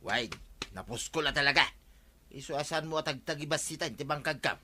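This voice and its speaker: An actor playing a cocky, evasive village husband with an Ilocano accent in a radio comedy drama. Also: male voice, fairly high pitch, a little fast, bright, forceful tone, slight background noise. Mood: cocky, defensive. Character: cocky, evasive village husband who dodges farm work.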